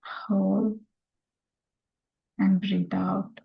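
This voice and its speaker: A woman leading a guided meditation in a calm, deliberate, soothing way, with pauses between short phrases. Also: mid-range voice, creaky, husky, muffled recording. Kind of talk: slow guided meditation instruction. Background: meditation music.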